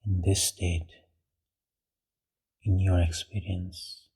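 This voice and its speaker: Man, meditation guide, mellow dark tone, even monotone delivery, hushed, breathy, unhurried short phrases. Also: low voice. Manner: slow, hushed, long pauses between short phrases.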